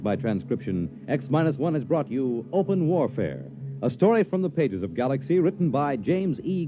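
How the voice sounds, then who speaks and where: A man's voice: medium pitch, no echo. A man with an American accent announcing formally from a script in declamatory 1950s radio style. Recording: tinny old radio recording with music.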